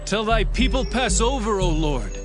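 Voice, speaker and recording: An actor playing a reverent, exultant Hebrew leader, declaiming praise in drawn-out phrases in a dramatized scripture reading. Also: male voice, high voice, expressive. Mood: solemn, triumphant. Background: prominent music.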